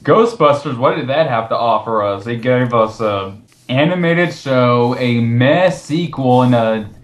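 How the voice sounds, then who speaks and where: A man's voice: mid-range voice, a little slow. Sarcastic man hosting movie commentary. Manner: sarcastic, incredulous. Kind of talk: casual commentary with read-out quotes.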